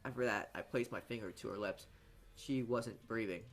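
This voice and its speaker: A man reading a visual novel aloud as dramatic narration, halting often as he stumbles over and repeats words. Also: fairly low pitch, a bit bright. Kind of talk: dramatic read-aloud narration for a let's play.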